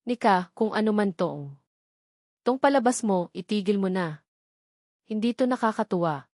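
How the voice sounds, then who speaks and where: A woman's voice: mid-range voice, lively intonation, normal speed, a little mellow, dry recording, natural voice. A defensive, disbelieving young man as a woman narrator acts him out in a love story. He stammers and protests. The sound around the voice is dry. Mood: annoyed, defensive. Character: shocked, defensive young man denying fatherhood.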